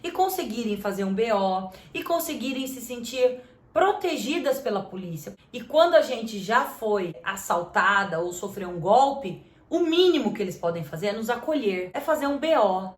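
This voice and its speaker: A woman's voice: on the high side, sing-song, normal speed. A Brazilian woman hosting a channel, telling a story straight to her viewers in an indignant tone and reading out messages. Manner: indignant, talks straight to the audience. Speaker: channel host. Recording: roomy echo.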